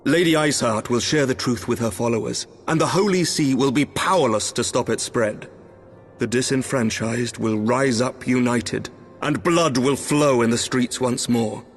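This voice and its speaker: A man playing a grave, idealistic knight commander in a fantasy game, with deliberate, formal oratory. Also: medium pitch, faint music behind, close to an everyday voice. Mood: grave, foreboding.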